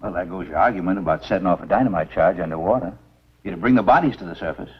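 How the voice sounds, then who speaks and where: Shrewd older doctor, husky, fast-talking, probing, 1950s film drama. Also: male voice, low-pitched. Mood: probing, argumentative. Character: shrewd, insistent older doctor, a grown woman's father. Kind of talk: 1950s B-movie acting. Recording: dull 1950s film soundtrack.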